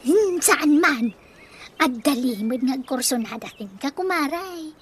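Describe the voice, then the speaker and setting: A woman's voice: high-pitched, animated, a little slow, a little hiss or hum. A woman acting a brash, scheming mother who wheedles and teases in an Ilocano-accented Filipino comedy radio drama. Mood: coaxing, gleeful. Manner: wheedling, teasing. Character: brash scheming mother sweet-talking her creditors.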